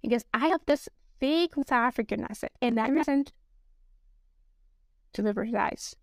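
A Nigerian woman with a Nigerian accent, a business English coach talking alone on her podcast, spelling out words slowly. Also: on the high side, dry recording, studio quality. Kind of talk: solo podcast monologue.

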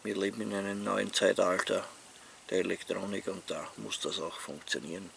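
Austrian male vlogger, fifties, low creaky voice with a bright edge, casual talk outdoors over faint ambient noise. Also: level tone, moderate pace. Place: outdoors among leafy trees.

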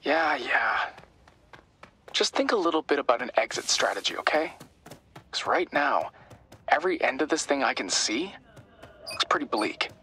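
An actor playing an earnest, caring young tech helper in a video game, eager and explanatory. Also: male voice, medium pitch, very animated, normal speed, pauses often, pushed and bright, a little hiss or hum. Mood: worried. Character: earnest, worried young male tech-savvy sidekick. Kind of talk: cinematic game dialogue.

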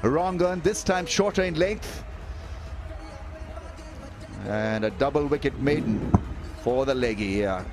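A male cricket commentator calls the match live, reading scores and bowling figures. He jumps up in pitch and energy when a wicket falls. Clear music plays behind his voice in the broadcast mix. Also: takes it slowly, long pauses. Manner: excitable, rises sharply on wickets.

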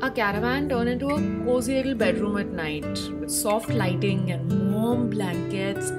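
A woman's voice: mid-range voice, normal speed, loud music behind the voice. A young woman chatting breezily as a travel vlogger, filming herself at arm's length outdoors at a seaside surf club. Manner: bubbly, chatty, lots of "like". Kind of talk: casual travel vlog talk. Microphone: handheld camera at arm's length.

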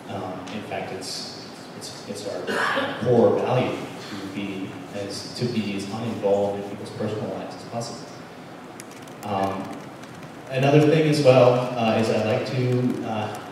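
A young man, a political candidate, giving a halting closing statement full of fillers into a handheld mic in a large banquet hall. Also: fairly low pitch. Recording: echoey hall from far off, background noise.

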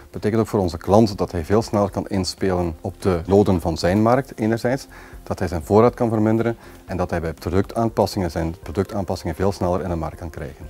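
A man in his forties with a Flemish accent, explaining his metalworking firm in a measured, businesslike corporate interview, recorded on a lapel mic in an office. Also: low-pitched, dark tone. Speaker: company executive. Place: office with glass wall.

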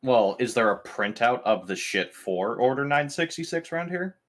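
A man's voice: medium pitch, animated, moderate pace. A man talking casually as a player during a tabletop roleplaying game.

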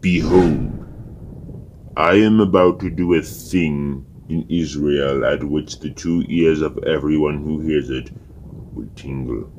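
A man voicing God pronouncing judgment, grave, solemn and deliberate, in a Bible audio drama. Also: rumbling low, darkish tone, a little hiss or hum, pitched below the performer's own voice.

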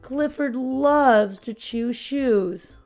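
Slow-paced American woman reading to kids. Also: high-pitched, soft background music. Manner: expressive, clearly paced storytelling for small children. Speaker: host of a children's read-aloud show. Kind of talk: children's storybook read-aloud, addressed to young kids.

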